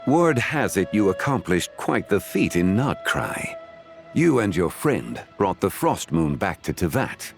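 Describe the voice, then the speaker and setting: A man playing a calm, learned, courteous scholar of ancient lore in a video game, speaking in a formal, measured, eloquent way. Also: low voice, gravelly, soft background music, full character voice. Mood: composed, explanatory. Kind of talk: video game dialogue acting.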